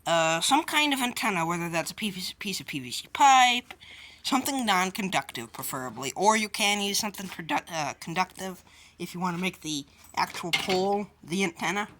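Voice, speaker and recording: A woman's voice: fairly low pitch, lively intonation, a little fast, fairly bright tone, slight background noise. A woman hosting a DIY how-to video, explaining each step informally and a little hesitantly. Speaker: host of a DIY tutorial video. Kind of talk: casual hands-on how-to explanation.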